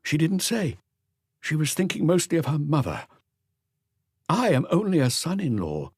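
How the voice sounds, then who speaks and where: Man acting a hesitant, higher-voiced nervous doctor. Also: huge pitch swings, normal speed, a little mellow, slightly rough, no echo, studio quality, heavily acted. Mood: uneasy, guarded. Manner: hesitant, guarded, defensive. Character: nervous, deferential young doctor under police questioning.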